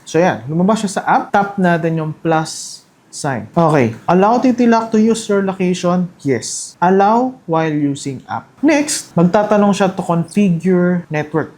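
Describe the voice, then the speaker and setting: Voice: mid-range voice, a little mellow. Filipino presenter giving a casual product walkthrough. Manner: conversational, instructional. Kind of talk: casual step-by-step product demo in Taglish.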